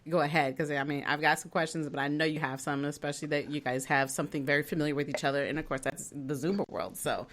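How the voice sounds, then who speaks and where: A woman co-hosting a casual podcast, with a low-pitched voice that still comes through bright and forceful. She is chatty and bubbly and laughs often. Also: fairly even intonation, fast talker, hardly any pauses. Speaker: podcast co-host.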